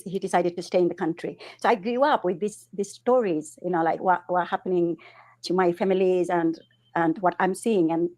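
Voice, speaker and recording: Earnest middle-aged woman from Bangladesh, lowish voice, halting delivery. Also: darkish tone. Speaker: interview guest, councillor. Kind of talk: personal testimony in interview.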